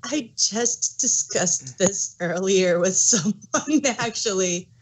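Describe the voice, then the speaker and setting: A woman co-hosting an audio drama review, chatting casually and explaining her points in a measured way. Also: medium pitch, background noise. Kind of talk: casual chat.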